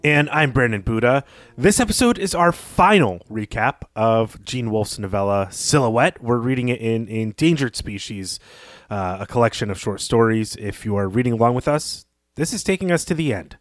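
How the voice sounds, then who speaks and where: A man speaks as a podcast co-host in an easy literary discussion. His pitch is medium and the sound is dry, free of any room echo. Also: expressive, normal speed.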